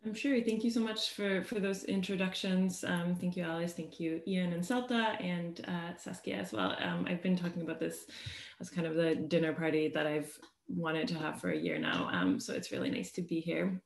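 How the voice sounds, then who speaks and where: A woman's voice: fairly low pitch, moderate pace, creaky, echoey room. A woman in her thirties who grew up in Sweden with Swedish as her first language, speaking thoughtfully on a video call panel with many fillers. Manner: thoughtful, many ums and uhs. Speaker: translator and panelist. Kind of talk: conversational storytelling. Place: bright home room.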